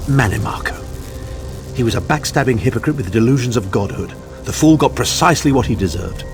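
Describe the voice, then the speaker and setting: A man's voice: mid-range voice, lively intonation, fairly quick, music in the background, close to an everyday voice. An actor playing an impatient, haughty, self-serving male mage in a fantasy game, with a sardonic, pompous, clipped delivery. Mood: scornful.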